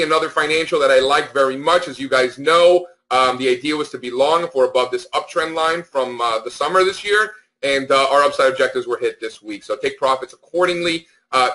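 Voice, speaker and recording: A man's voice: fairly high pitch, pushed and bright, rough recording. A man speaking informally as a market analyst on a conference call, with an emphatic, opinionated, slangy way of talking. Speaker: stock market analyst hosting a members call.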